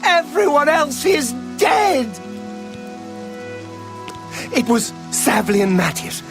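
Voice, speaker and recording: A man's voice: very high voice, very animated, takes it slowly, creaky, over music, heavily acted. A male actor playing a frantic, despairing refugee in a fantasy video game, overwrought and emphatic. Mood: horrified. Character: panicked, despairing refugee fleeing a demon attack. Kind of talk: dramatic fantasy game dialogue.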